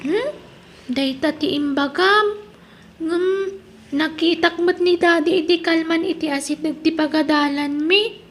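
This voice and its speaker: Tiny innocent kid voice, really high, ilocano accent, childlike n curious, drama acting. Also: female voice, unhurried. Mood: earnest, questioning. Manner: childlike, questioning. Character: innocent young child longing for absent father.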